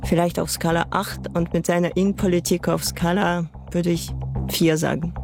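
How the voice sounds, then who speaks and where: A woman's voice: on the low side, flat delivery, moderate pace, vocal fry, music in the background. A woman from Ukraine, a journalist, speaking as an interview guest in a thoughtful, hesitant way with fillers. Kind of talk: casual interview talk.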